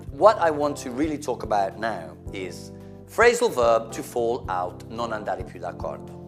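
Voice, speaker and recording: A man teaches an English lesson with animated energy, acting out the examples. His pitch swings widely as he explains. Faint guitar music sits behind him. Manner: animated, acts out examples, mixes in Italian. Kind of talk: language lesson for Italians.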